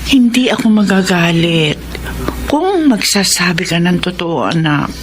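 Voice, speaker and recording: A woman's voice: on the low side, lively intonation, measured pace. A woman playing a poor, ailing, loving and morally firm mother in a radio drama, speaking with emotion. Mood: suspicious, firm. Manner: emotional, gently admonishing. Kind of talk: Tagalog radio drama acting.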